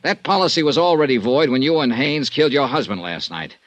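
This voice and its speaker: A man's voice: mid-range voice, animated, a little fast, no echo, lo-fi sound, lightly acted. An actor playing a hard-boiled male insurance investigator in a 1950s radio drama, talking in a clipped, sardonic way. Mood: accusing.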